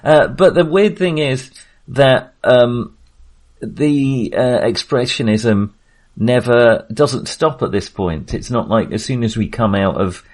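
A man co-hosting a casual film discussion, speaking in a halting way as he thinks aloud. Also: mid-range voice, a little mellow. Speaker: podcast co-host.

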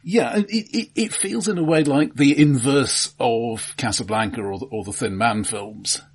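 A man's voice: medium pitch, measured pace, breathy edge. A man co-hosting a podcast, talking thoughtfully about a film in casual chat, often repeating his first words. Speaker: podcast co-host.

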